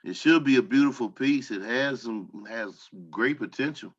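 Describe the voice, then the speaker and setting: A man's voice: medium pitch, normal speed, fairly bright tone. A man from a cast giving casual, reflective comments over a dull, lo-fi connection. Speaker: cast member.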